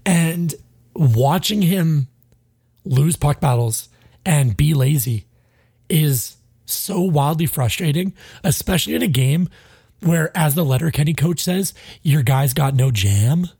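A man venting on a casual sports podcast, blunt and exasperated, cursing as he breaks down a player's effort. His voice is gravelly and husky, a little stuffed up, with a dark, mellow tone. It is recorded close and dry. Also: on the high side, lively intonation, takes it slowly, no echo. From Canada. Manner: frustrated, blunt, swears freely. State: stuffy, sinusy. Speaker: podcast co-host.

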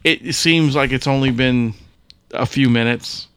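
A man's voice: medium pitch, a little slow, a bit bright, clean tone. A man chatting casually as a podcast co-host, curious and often repeating his words. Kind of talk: casual chat.

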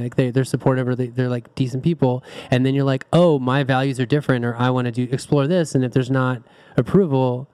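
A man's voice: mid-range voice, darkish tone, clean tone. A man hosting a casual podcast discussion, reflective and rambling with frequent restarts. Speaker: podcast host.

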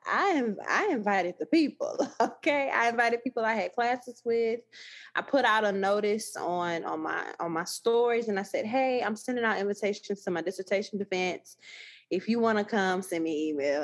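A woman with a thick Texas accent, talking as a guest in a casual podcast conversation, animated and emphatic with frequent laughter. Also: fairly high pitch. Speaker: guest, new scholar.

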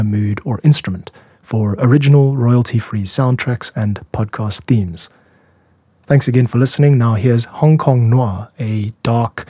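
A man's voice: mid-range voice, dark tone, breathy edge, no room sound. A man hosting a podcast as a music producer, talking in an explanatory narration style.

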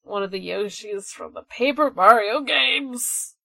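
A woman podcast co-host in casual fan chat. Her voice is gravelly with vocal fry and recorded very dry, and she rambles along at a slow pace. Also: fairly high pitch, sharp tone, no echo. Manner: rambling, peppered with "like".

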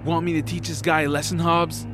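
An actor playing a steady, protective flying sidekick to a hero in a fantasy audio drama. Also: male voice, a little high, brisk, over music, close to an everyday voice. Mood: confident.

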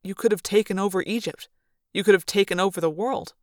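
A woman's voice: mid-range voice, fast talker, darkish tone, no echo, studio quality, close to an everyday voice. A woman reading a blunt young male leader. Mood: skeptical.

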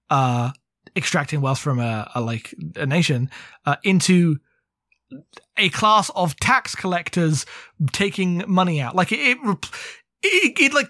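A man's voice: on the high side, fairly bright tone, dry recording, clean studio sound. A man co-hosting a podcast in a casual book discussion, opinionated and sweary, rambling along with lots of "like". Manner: rambling, heavy use of "like". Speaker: podcast co-host.

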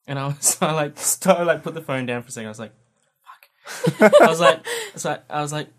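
A man on a podcast telling a work story in a lively, amused way. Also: fairly high pitch, brisk. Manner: animated storyteller, laughing through. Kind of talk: casual podcast chat.

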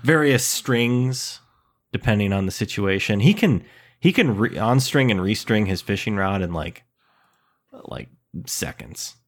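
A man's voice: on the low side, animated, a little slow. A thirty-year-old man co-hosting a casual chat, easygoing and joking as he builds on his friend's ideas.

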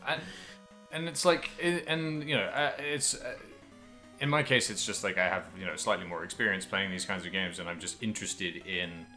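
Deep-voiced man with a bright, forceful edge. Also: moderate pace, soft background music. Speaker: podcast host. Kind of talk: casual video game discussion.

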